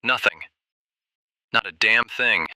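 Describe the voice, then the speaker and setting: Radio drama caller, an uneasy ranger: very sharp-edged, low-pitched, halting, plain-spoken. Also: male voice, heavily acted, low-quality recording. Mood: uneasy, insistent. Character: shaken, earnest desert ranger calling radio show. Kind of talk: radio drama phone-in testimony.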